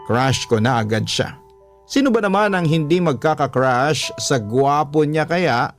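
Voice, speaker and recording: A man's voice: on the high side, animated, measured pace, soft background music. A man reading a scripted first-person love story as a radio drama narrator. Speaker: radio show story reader.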